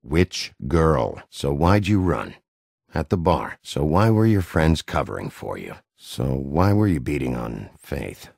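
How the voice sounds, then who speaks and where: Gravelly, menacing wolf detective. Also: male voice, rumbling low, big pitch swings, unhurried, darkish tone, no echo, studio quality, heavily acted. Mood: weary, guarded. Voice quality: gravelly, rough voice. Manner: blunt, threatening interrogator, curses freely. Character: gruff, menacing big bad wolf detective, hot-tempered man. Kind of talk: video game voice acting.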